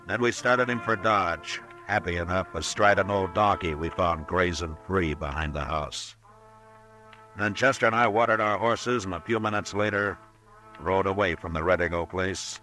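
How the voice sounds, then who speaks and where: An actor playing a calm, laconic frontier marshal who also narrates, in an old radio drama. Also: male voice, low voice, close to an everyday voice. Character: steady male frontier marshal, calm and firm. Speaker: narrator and lead. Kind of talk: 1950s radio drama acting. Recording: old radio broadcast with music bed.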